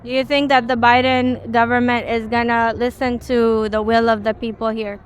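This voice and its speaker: Woman reporter, slightly high voice, casual filler-laden questions. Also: level tone, moderate pace, slight background noise. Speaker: interviewer. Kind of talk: street interview questions.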